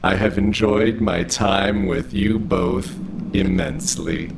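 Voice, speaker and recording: Audio drama robot, male actor: earnest, stilted and courteous, low crackling voice, noisy recording. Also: fairly even intonation, takes it slowly, vocal fry, full character voice. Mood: earnest, polite. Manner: formal, stilted, unfailingly polite phrasing. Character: overly friendly, earnest, literal-minded male robot. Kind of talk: scripted audio drama acting.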